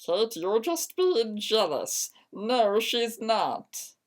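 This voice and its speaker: An easygoing young boyfriend played by a female reader in an amateur story reading. He is apologetic and defensive, speaking slowly with a very lively rise and fall. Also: on the high side, pitched above the performer's own voice, exaggerated voice. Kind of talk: dramatic fan fiction reading.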